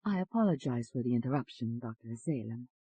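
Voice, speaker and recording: A woman voicing a polite, gentle young Italian woman with a soft voice and an Italian accent in an audiobook. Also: very deep voice, lively intonation, breathy edge, no room sound, exaggerated voice. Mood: apologetic, gentle. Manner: gentle, hesitant, courteous, slow.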